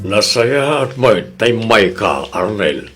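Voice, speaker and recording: A man's voice: lowish voice, sing-song, slow, over music. A man with an Ilocano accent playing a money-minded jeepney owner, a casual and self-interested boss in a comedy radio drama. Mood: dismissive.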